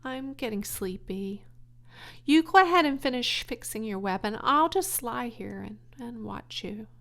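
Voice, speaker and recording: A woman's voice: on the high side, lively intonation, a little slow, vocal fry, a bit bright, pitched above the performer's own voice, heavily acted. A woman voicing a naive, boastful young male pig in a children's storybook, earnest and childlike. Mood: sleepy, deflated.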